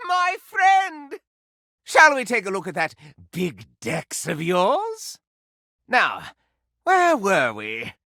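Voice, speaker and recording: Game role: a theatrical gossip of a candelabra, high for a man, hugely animated, bright, campy and florid. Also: slow, dry recording, studio quality, exaggerated voice. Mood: grandly playful. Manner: florid, campy, melodramatic, affected continental airs. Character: flamboyant, gossipy, theatrical male candelabra dandy. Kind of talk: theatrical video game character acting.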